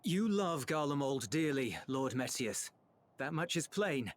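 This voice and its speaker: A man playing an earnest younger officer of a ruined empire in a video game, speaking in a solemn, measured and impassioned way. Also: fairly high pitch, lively intonation, slight background noise. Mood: solemn, resolute. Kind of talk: dramatic video game voice acting.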